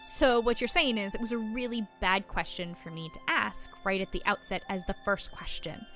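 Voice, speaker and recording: A woman playing a patient small-town reporter who coaches a newcomer, speaking deliberately and encouragingly in an improvised story podcast. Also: medium pitch, fairly even intonation, a bit bright, faint music behind, close to an everyday voice. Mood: instructive.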